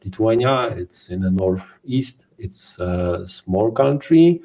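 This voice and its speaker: Male podcast co-host, dark, low voice, set slightly back from the mic, halting delivery. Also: animated, distant mic. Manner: halting, with frequent fillers. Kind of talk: introducing the episode.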